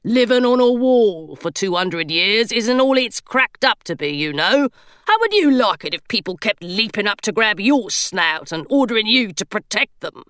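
A woman's voice: mid-range voice, sing-song, moderate pace, a bit bright, clear, resonant tone, no room sound. A woman reading aloud, voicing a fretful, dramatic male stone gargoyle who sighs and complains. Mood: indignant. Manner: indignant and self-pitying, theatrical sighs.

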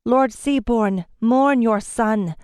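A woman voicing a strong-willed fae queen who speaks forcefully and gives commands. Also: fairly high pitch, slow, clear, resonant tone, dry recording, clean studio sound, voice pushed up. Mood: commanding.

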